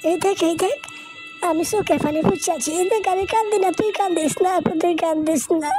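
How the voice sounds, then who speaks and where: A woman voicing a small plucky village boy with a squeaky, insistent way of talking in a cartoon. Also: quick pace. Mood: brave, consoling. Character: small plucky village boy soothing his tearful sister. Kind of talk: Bengali moral-story cartoon dialogue. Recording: loud music behind the voice.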